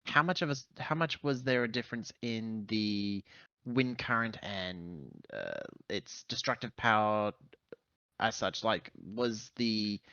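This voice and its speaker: A man with a forceful, mid-pitched voice plays a tabletop game. He words his questions slowly and haltingly, over a dry, slightly muffled voice-chat mic. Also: animated, clear, resonant tone, dry recording. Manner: halting, deliberate, wordy phrasing. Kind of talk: casual in-character questioning in a roleplaying game. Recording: dull, dry voice-chat microphone.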